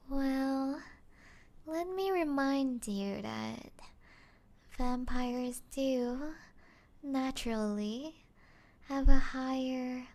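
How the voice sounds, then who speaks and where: A woman voicing a seductive, mocking, possessive vampire in an ASMR roleplay, teasing and taunting in a breathy voice that slips into whispers. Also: sits high, full character voice. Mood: menacing, teasing. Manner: teasing, taunting, slow breathy delivery with whispers and soft chuckles.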